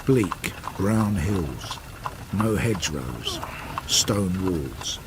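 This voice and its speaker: A Victorian detective narrating a radio drama. The voice is low and slow yet nicely varied in pitch. He speaks in short, understated, reflective phrases. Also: expressive, background noise, heavily acted. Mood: wistful. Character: reflective Victorian detective recalling an old case.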